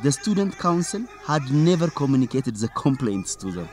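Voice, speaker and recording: A man's voice: mid-range voice, big pitch swings, normal speed, a little mellow, lightly acted. A man playing a driven, inquisitive reporter in a radio drama. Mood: serious. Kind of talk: English-teaching radio drama dialogue.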